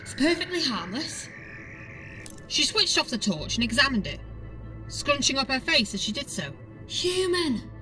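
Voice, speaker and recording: A woman's voice: sits high, very animated, a little fast, pushed and bright, distant mic, soft background music, low-quality recording. A woman narrating a scripted audiobook story in a dramatic storytelling style.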